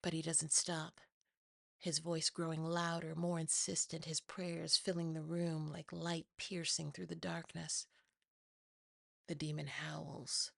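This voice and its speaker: Slow, breathy woman narrating horror. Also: sits low, no echo, clean studio sound. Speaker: narrator, ASMR performer. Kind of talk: scripted first-person horror narration, ASMR. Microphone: close, dry mic.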